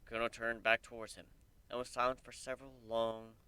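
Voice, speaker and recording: A man narrating a story as a dramatic reading, expressive and deliberate in his telling. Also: mid-range voice, clean tone.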